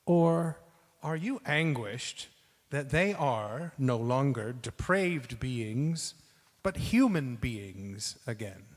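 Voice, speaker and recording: A man reciting spoken word in a measured, weighty theatrical manner, as a reader in a dramatic performance. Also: medium pitch, expressive. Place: large reverberant temple hall. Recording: long hall reverb.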